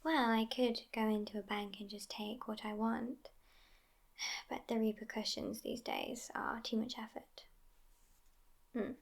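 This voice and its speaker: A woman playing a sassy, world-weary immortal vampire girlfriend in a whispered ASMR roleplay, soft, breathy and intimate. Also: fairly high pitch, little pitch movement, a little slow, pauses often. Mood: sardonic, amused.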